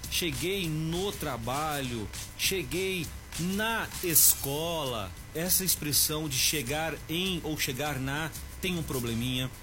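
A Brazilian man teaching Portuguese on the radio, addressing the listener directly and slowing down to stress his examples. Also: on the high side. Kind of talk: radio grammar tip segment. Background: music bed.

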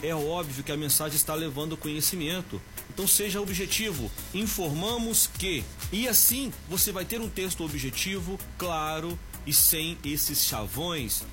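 A man with a Brazilian accent giving a slow, deliberate language tip as a radio teacher. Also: a little high.

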